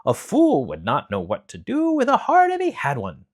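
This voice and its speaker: A man's voice: fairly high pitch, wildly swooping pitch, normal speed, voice pushed up. Man doing a male scarecrow, raised voice, emphatic, storybook. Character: male scarecrow, brainless and opinionated.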